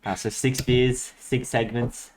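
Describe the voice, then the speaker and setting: A man's voice: mid-range voice, little pitch movement, takes it slowly, a little hiss or hum. An Australian man in his twenties chatting casually as a podcast co-host. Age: 20s. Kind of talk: casual chat.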